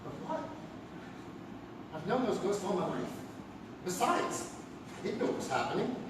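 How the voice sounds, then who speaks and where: A man playing a shaken husband recounting a ghostly encounter in a live radio drama, halting, defensive and excitable. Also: high voice. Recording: very echoey theater, distant mic, noisy.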